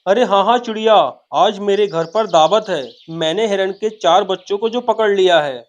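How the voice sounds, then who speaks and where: A man performs a greedy cartoon hyena, a male villain with a rather high voice. He is sly and gloating, turning sing-song when he lures his prey. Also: moderate pace, lo-fi sound, natural voice. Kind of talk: Hindi animated fairy-tale character voice.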